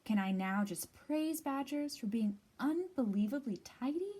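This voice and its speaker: A woman's voice: fairly high pitch, lively intonation, measured pace, dark tone. Woman reading expressively to children. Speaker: library storytime host. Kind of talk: expressive children's picture-book read-aloud.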